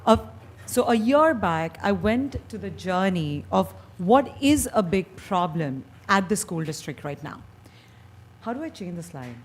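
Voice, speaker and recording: A woman's voice: mid-range voice, very animated, measured pace, breathy edge. A woman from India with an Indian accent, a company founder giving a persuasive presentation at a school board meeting. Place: meeting hall.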